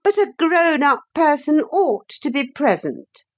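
A smug grown-up lady villain from a storybook. Her voice is pushed high and swings widely in pitch, with false sweetness. She is bossy and talks down to everyone. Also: very animated, a little slow. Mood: condescending. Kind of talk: storybook character voice.

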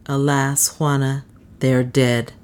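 A proud, protective adventurer in an audiobook tale, voiced by a female narrator. He talks in a low voice, grave and formal, with stern firmness. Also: normal speed, darkish tone. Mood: solemn. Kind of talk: dramatised audiobook dialogue.

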